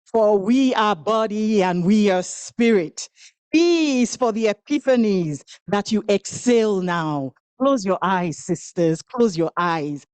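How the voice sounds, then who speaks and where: A woman's voice: medium pitch, animated, a little mellow, clean tone, dry recording. A woman whose first language is Igbo, giving a rhythmic, incantatory spoken-word poetry performance at a women's event. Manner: rhythmic, incantatory delivery with long pauses.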